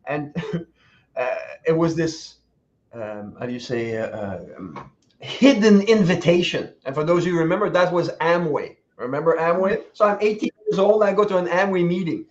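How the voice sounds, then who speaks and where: A man in his sixties tells motivational stories in a high, bright register. He laughs between lines and acts out the voices of the people he quotes. The sound is dull and lo-fi. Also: normal speed. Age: 60s. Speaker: guest speaker. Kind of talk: motivational storytelling.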